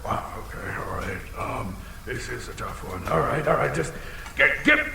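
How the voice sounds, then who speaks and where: Gravelly, blustering dragon in a cavern. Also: male voice, expressive, moderate pace, pushed and bright, heavily acted. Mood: flustered. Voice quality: gravelly, creaky. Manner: theatrical booming threats collapsing into fussy, casual muttering. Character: blustering, insecure, half-blind dragon with huge teeth. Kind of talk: comedic audio drama acting. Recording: cavernous long reverb with faint noise.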